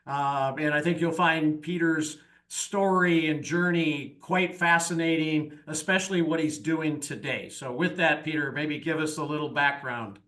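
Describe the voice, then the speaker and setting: A man's voice: on the high side, fairly even intonation, a little slow, few pauses, sharp tone. Midwestern man hosting, rambling. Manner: rambling, many fillers, friendly introductions. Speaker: podcast host. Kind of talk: casual interview talk.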